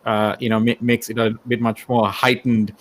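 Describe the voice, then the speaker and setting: A man from Sri Lanka hosts a relaxed interview show in a medium-pitched voice. He talks in a rambling, tentative way, circling before he gets to a question. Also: moderate pace. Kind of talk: casual interview chat.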